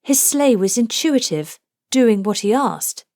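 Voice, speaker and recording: A woman narrating a children's storybook aloud, with deliberate, rhythmic delivery of rhymed phrases. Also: on the high side, expressive, dark tone, dry recording. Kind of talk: scripted storybook read-aloud.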